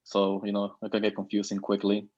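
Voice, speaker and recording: A man in his thirties, from Peru, speaks in a fairly low voice over a video call. He introduces himself with many pauses, fillers and restarts. The call audio is slightly dull and lo-fi. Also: completely flat delivery, darkish tone. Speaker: board member. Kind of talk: virtual meeting remarks.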